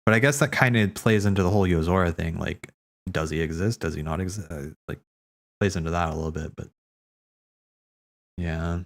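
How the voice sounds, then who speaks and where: Low-voiced man, casual rambling streamer. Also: fairly quick, long pauses. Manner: hesitant, repeating words as he thinks aloud. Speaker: streamer hosting a watch party. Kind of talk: casual rambling commentary, replying to chat.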